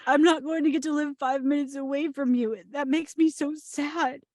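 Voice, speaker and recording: A woman's voice: high-pitched, fairly even intonation, moderate pace, darkish tone, no echo, studio quality, natural voice. A woman reading the part of an anxious, sentimental bride in a screenplay table read, plaintive and wry. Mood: wistful, anxious. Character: Lillian, anxious sentimental bride dreading change.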